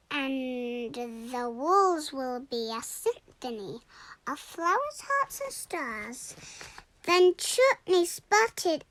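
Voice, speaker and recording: A young girl reading a rhyming children's storybook aloud in a deliberate, expressive way. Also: very high voice, rough recording. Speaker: story reader.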